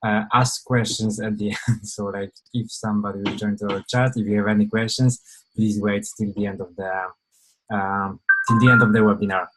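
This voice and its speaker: A man's voice: on the low side, fairly even intonation, moderate pace, fairly bright tone, slight background noise, low-quality recording. A young man from Poland, giving an informal webinar presentation over a video call, hesitant with many fillers and restarts.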